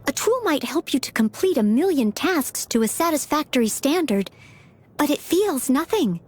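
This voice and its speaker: A woman's voice: high-pitched, big pitch swings, moderate pace, darkish tone. A woman voicing a polite, self-effacing young maid and aspiring knight in a video game, eager to please. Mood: polite, earnest. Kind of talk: video game character voice lines.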